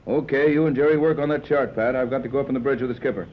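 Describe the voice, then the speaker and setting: An actor playing a steady, easygoing army captain in a 1930s radio serial, matter-of-fact and reassuring. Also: male voice, mid-range voice, racing, natural voice. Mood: brisk, practical. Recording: dull, noisy 1930s radio recording.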